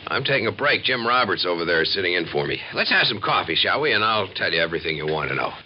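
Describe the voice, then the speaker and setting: An actor playing an affable, authoritative American civil defense officer, explaining matter-of-factly in a 1950s radio drama style. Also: male voice, deep voice, very animated, quick pace, music in the background. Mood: friendly. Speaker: attack warning officer. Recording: dull vintage radio recording, busy room behind.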